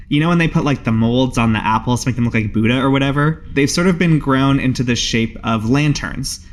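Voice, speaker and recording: A man casually narrating an improvised tabletop game as its game master. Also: medium pitch.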